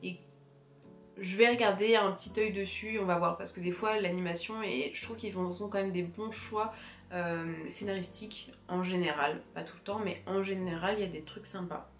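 A young woman with a cold talks to camera, her voice stuffy and nasal. She chats loosely, full of fillers and restarts. The room sounds echoey, with soft music playing underneath. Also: on the low side, steady tone, moderate pace. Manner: chatty, many fillers and restarts. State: congested, stuffy nose from a cold. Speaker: anime vlogger. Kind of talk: casual chat to camera. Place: room with bookshelves. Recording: roomy echo, faint music behind.

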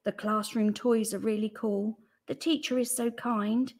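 A woman's voice: a little high, fairly even intonation, unhurried, darkish tone. A woman voicing an eager young boy character from a storybook, exclaiming keenly. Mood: delighted.

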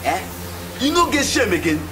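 A man's voice: sits high, big pitch swings, moderate pace, noisy background. A man with a Nigerian accent playing a mocking village onlooker.

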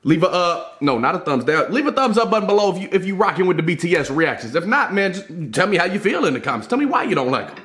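A young American man hosting a reaction video, loud, energetic and profane, talking straight to his viewers in a small indoor room. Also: sits high, fast, few pauses. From United States. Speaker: YouTube reaction host. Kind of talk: hyped reaction video talk.

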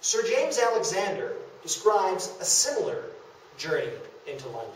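A man's voice: high-pitched, very animated, normal speed. A young man giving a prepared history lecture to the public. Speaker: presenter of a local history talk. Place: indoor meeting room in a historic house. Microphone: distant camera microphone. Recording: echoey room from far off, faint noise.

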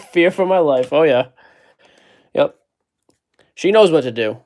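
A man's voice: a little high, animated, slow pace. A man hosting a card break, casually joking while he reads out player names from the packs. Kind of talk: casual card-opening chat. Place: indoor card-breaking table.

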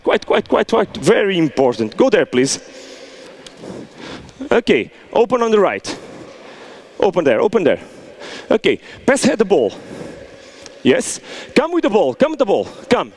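A middle-aged man coaching players through a soccer drill in a large indoor hall, checking in with "okay?" as he goes. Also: high-pitched. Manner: animated instructions with frequent "okay?" check-ins. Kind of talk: on-field coaching demonstration.

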